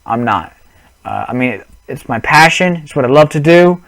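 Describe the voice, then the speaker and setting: A man's voice: fairly high pitch, lively intonation, moderate pace, fairly bright tone, dry recording. A young man on a headset mic, rambling through a casual gaming vlog with lots of restarts and apologies. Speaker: gaming vlogger.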